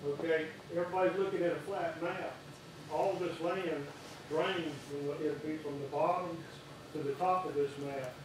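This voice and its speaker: Brief: older farmer, blunt and plainspoken, echoey room, far mic. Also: male voice, on the high side, fairly even intonation, moderate pace, noisy background. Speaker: public hearing speaker, farmer. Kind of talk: public comment at a meeting. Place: large public meeting room.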